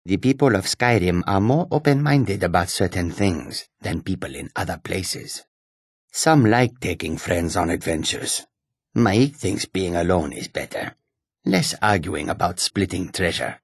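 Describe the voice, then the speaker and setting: A man voicing a sly, whimsical male cat-folk wanderer in a video game, with a raspy, husky voice, deliberate clipped phrasing. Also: deep voice, dry recording, clean studio sound, exaggerated voice. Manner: speaks of himself in third person, deliberate, clipped phrasing. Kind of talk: video game character dialogue.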